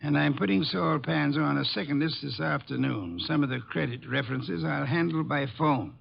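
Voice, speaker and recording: A dull, lo-fi radio recording of a brilliant master detective. His gravelly voice sits fairly high, and he hands out orders in deliberate, exacting phrases, stubborn and fully in command. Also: heavily acted. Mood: exacting, patient. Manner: deliberate, authoritative, precise phrasing. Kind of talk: old-time radio drama acting.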